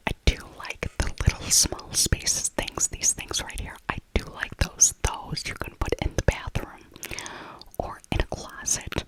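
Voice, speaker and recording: A woman speaking in a breathy whisper, narrating casually in ASMR style and softly repeating her phrases. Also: low-quality recording. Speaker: ASMR video creator narrating a shopping trip.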